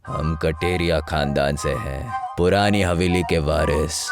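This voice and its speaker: A man playing a tall, scary cursed killer in an animated horror story, with a heavy, dreadful voice and an ominous manner. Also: very deep voice, music in the background. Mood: grim, ominous. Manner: slow, deliberate, ominous.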